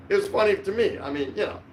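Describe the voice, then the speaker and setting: A man's voice: high voice, animated, moderate pace, slight background noise, poor audio. An older man hosting a casual livestream, rambling along in a jokey, mildly profane way. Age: older, around 60. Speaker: livestream host. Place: small curtained room indoors.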